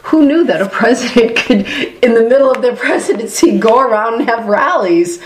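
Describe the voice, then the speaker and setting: A woman's voice: a little high, normal speed, a little hiss or hum. A woman from Boston with a Boston accent, co-hosting a podcast in casual chat, rambling and restarting her thoughts. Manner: rambling, restarts her thoughts, quotes others in animated asides. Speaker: podcast co-host. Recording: echoey room.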